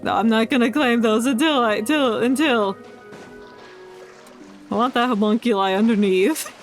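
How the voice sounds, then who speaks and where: A woman's voice: on the high side, moderate pace. A woman giving casual live commentary on a game, thinking aloud as the game music plays behind. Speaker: game streamer.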